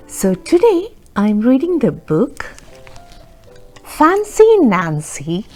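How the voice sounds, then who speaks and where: A woman's voice: a little high, a little mellow. A woman hosting a children's storytime, reading expressively, asking the listeners questions and explaining words simply. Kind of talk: slow, expressive read-aloud with explanations for children.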